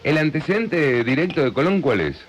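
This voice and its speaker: A man's voice: on the high side, big pitch swings, a little fast, faint music behind. A man with an Argentine accent hosting a casual radio chat, inquisitive and often cutting in with questions. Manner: interrupting, inquisitive. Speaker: radio show host.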